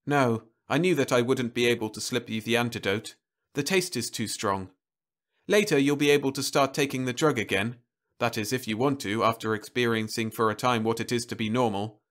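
A man reading a story aloud, voicing a young woman who speaks quietly and steadily. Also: medium pitch, brisk, natural voice. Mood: quiet, firm. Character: young woman Louise, calm and determined.

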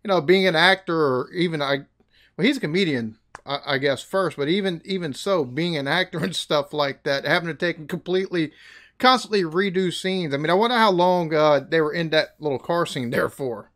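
American guy in his 30s chatting on a headset, slightly high voice, laughs easy. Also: fairly quick, fairly bright tone. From United States. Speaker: reaction video host. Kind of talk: casual chat. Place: small room in front of a green screen.

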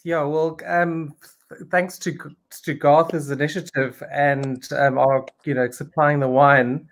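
A man in his forties, a wine producer answering interview questions over a video call, friendly and halting with many ums. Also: fairly high pitch, little pitch movement. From South Africa. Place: home study with bookshelves.

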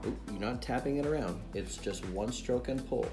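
A man's voice: mid-range voice, normal speed, music in the background. A man in his thirties, a pastor, patiently teaching children step by step at a home dining table, repeating each instruction. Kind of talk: playful how-to demonstration for kids. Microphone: camera sitting on the table.

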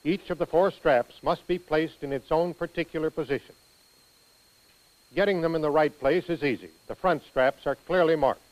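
An American man reading scripted instructional narration for a training film in a precise, measured manner. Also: on the high side, darkish tone, low-quality recording.

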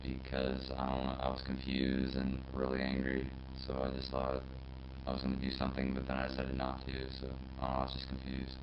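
Robot-filtered man, extremely low, flat monotone, interview talk, muffled noisy echoing recording. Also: a little fast. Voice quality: robotic, synthetic, monotone timbre. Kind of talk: interview about a viral video.

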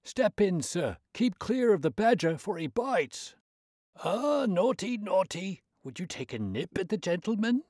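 A shrill cranky old animal keeper. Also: male voice, big pitch swings, a little slow, a little mellow, no room sound, studio quality, exaggerated voice. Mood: chatty, fussing. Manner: shrill, querulous, working-class speech.

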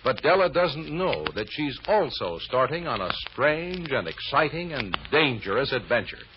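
A man's voice: mid-range voice, very animated, normal speed, background noise. A man narrating an old-time American radio serial as its announcer, in a dramatic, suspenseful, emphatic manner. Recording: muffled old radio recording.

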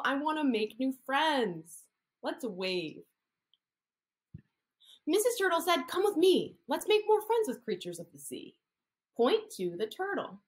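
A woman in her thirties, an occupational therapist, reading a picture story aloud to young children and coaxing them to copy hand gestures, recorded indoors on a laptop webcam. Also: fairly high pitch. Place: indoor room.

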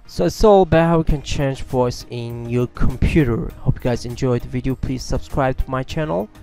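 A man's voice: mid-range voice, normal speed, vocal fry, mellow, soft background music. A man, narrating a casual tech tutorial as its host.

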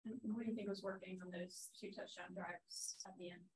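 Quick-talking woman reporter. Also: lowish voice, steady tone, a little mellow, slightly rough, poor audio. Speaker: sports reporter. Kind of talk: press conference questions.

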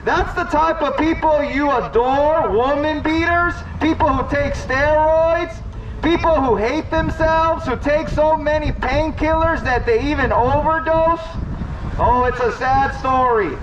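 A man preaching loudly outdoors to a street crowd, condemning and repetitive in manner. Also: very high-pitched, unhurried. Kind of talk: open-air preaching to passing crowds. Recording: distant, muffled outdoor recording with street noise.

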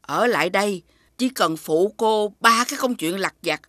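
An actress playing a bossy, sly woman food seller in an animated folk tale, with a Southern Vietnamese accent. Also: mid-range voice, moderate pace, dry recording, clean studio sound, lightly acted. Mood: coaxing. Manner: scolding, switches to coaxing.

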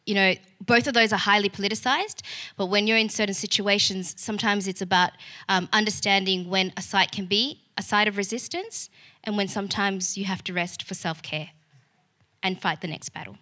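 A woman's voice: medium pitch, steady tone, moderate pace, pushed and bright. A young woman speaking earnestly on a stage panel, hesitant with many fillers. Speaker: panel guest, theatre artist. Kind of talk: panel discussion answer. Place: stage in a large auditorium. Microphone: boom mic on stand.

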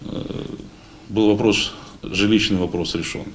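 A man in his forties giving a halting, informal speech at a meeting, pausing often. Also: fairly low pitch, fairly even intonation. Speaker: paratrooper unit member addressing a meeting. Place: meeting hall at a lectern. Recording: noisy room recording.

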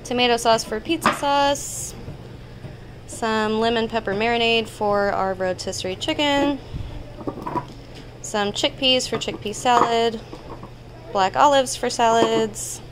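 High-voiced woman chatting casually. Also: slow pace, pauses often, noisy background. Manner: informal, conversational, listing items. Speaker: vlog host. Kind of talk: casual chatty grocery haul narration. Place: indoors in a home kitchen.

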